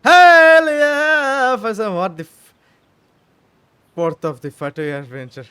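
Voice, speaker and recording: A male streamer reacts casually to a game, talking back to its characters. His voice is high and swings widely, full of repeated exclamations. The sound is close and dry. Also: sing-song, slow, bright, forceful tone, clear, resonant tone, dry recording. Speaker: gaming streamer. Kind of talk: casual gameplay reaction commentary.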